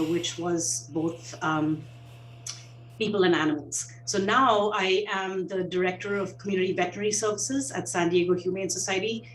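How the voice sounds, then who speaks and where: Woman, Indian accent, gentle call co-host, pausing often; roomy, off-mic sound. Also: fairly low pitch, recorded from a distance, a little hiss or hum. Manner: gentle, many fillers and pauses. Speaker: guest co-host, shelter veterinary director. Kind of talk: casual video call chat. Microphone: video call.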